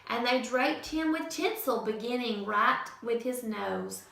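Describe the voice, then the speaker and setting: A woman teacher reading a picture book aloud to children in an expressive, sing-song storytelling manner. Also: fairly high pitch, creaky. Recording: echoey room from far off.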